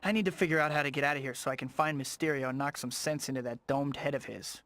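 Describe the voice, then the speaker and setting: An actor playing a wisecracking young superhero in a video game, plucky and sarcastic, talking to himself in quips. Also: male voice, medium pitch, fast, close to an everyday voice. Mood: wry, determined. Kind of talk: video game hero acting.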